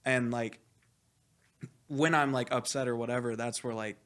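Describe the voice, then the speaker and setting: A man speaking as a coach in a casual interview, animated and blunt, rambling with lots of "like" and some swearing. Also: mid-range voice, moderate pace. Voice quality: loud voice. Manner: animated, rambling, heavy use of "like". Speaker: esports coach being interviewed. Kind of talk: casual, candid interview talk.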